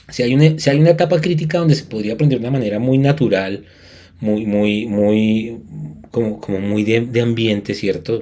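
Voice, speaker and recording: A man from Colombia gives a conference lecture as a music educator, earnestly stressing his points and often restarting his sentences. His voice sits low and sounds mellow and warm. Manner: earnest, emphatic, with frequent restarts. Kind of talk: academic conference talk.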